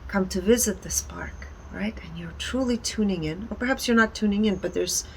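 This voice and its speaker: A woman giving a conversational, explanatory presentation in deliberate short phrases. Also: mid-range voice. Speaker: speaker presenting energy readings. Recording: background ambient noise.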